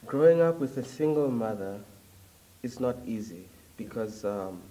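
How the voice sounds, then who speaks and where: A young man from Zambia, talking as a guest in a casual interview, reflective and hesitant with frequent pauses. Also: medium pitch, lively intonation, dark tone, recorded from a distance, poor audio. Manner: reflective, hesitant with many ums.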